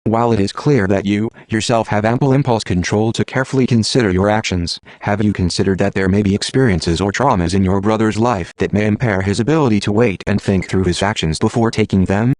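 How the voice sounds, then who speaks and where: A man's voice playing a calm, well-read AI assistant, measured, formal and impartial in scripted dialogue. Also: on the low side, no room sound, lightly acted. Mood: calm, analytical.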